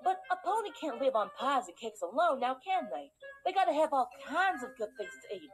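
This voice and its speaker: A woman's voice: sits high, big pitch swings, a little fast, music in the background. A woman voicing a sly, folksy cartoon wolf, scheming and easily exasperated. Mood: sly, coaxing. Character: sly female apple-hungry wolf with country drawl. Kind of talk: animated fairy-tale parody acting.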